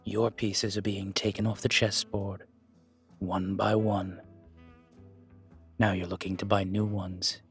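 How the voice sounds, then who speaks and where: A man's voice: low-pitched, flat delivery, takes it slowly, long pauses, soft background music. A man voicing a brooding, dangerous dragon mafia boss, intense, threatening and fiercely protective, in an audio roleplay. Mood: quiet menace.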